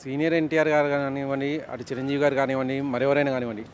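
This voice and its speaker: A man's voice: medium pitch, level tone, very fast. A man explaining his opinion in a street interview as a member of the public. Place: outdoors in an urban street. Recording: faint outdoor traffic noise.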